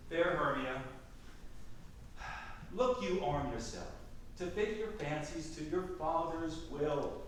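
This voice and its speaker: A man playing a stern, authoritative duke, speaking formal verse in a deliberate, declamatory way in a staged reading. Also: a little high, fairly bright tone, full character voice. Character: stern, authoritative male duke pronouncing Athenian law. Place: echoey room at a theatre camp reading. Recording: very echoey room, distant mic, faint noise.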